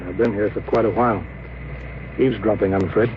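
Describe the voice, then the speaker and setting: An actor playing a stern, weary starship captain in a 1950s radio drama, speaking with clipped, deliberate authority. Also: male voice, on the low side, fairly even intonation, lightly acted. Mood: weary, pensive. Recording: noisy, muffled old radio recording.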